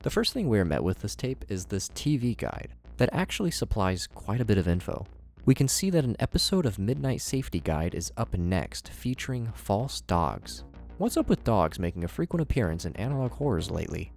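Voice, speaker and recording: Low-voiced, animated male commentary host. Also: fairly quick, vocal fry, faint music behind. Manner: expressive, conversational presenter delivery. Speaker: YouTube host, horror series reviewer. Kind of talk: scripted commentary narration.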